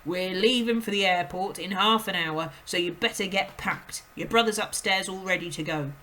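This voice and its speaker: A woman's voice: on the low side, moderate pace, pushed and bright, slight background noise. A woman voicing a bossy, impatient middle-aged father in a storybook read aloud, barking curt orders. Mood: gruff, snapping. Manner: curt, snapping, barked orders.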